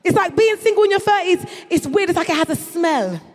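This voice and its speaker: A woman's voice: extremely high pitch, expressive, fairly quick. A woman in her thirties with a London accent doing stand-up, brash and boastful, full of crude slang. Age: 30s. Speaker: stand-up comedian. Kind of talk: stand-up routine. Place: large concert hall before an audience. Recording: live hall recording with long reverb.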